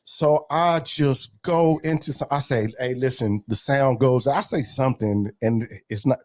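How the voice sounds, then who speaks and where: A man in his forties telling stories in a relaxed interview, acting out what people said. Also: medium pitch, measured pace, dry recording, clean studio sound. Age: 40s. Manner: animated storyteller, acts out quotes. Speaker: gospel artist guest. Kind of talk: casual interview storytelling.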